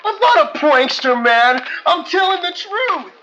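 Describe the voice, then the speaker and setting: A man's voice: very high voice, big pitch swings, a little slow, sharp tone, highs cut off, slight background noise, heavily acted. A man playing an indignant young slacker prankster vlogger, complaining in slangy stoner fashion in an audio drama. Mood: indignant, rattled.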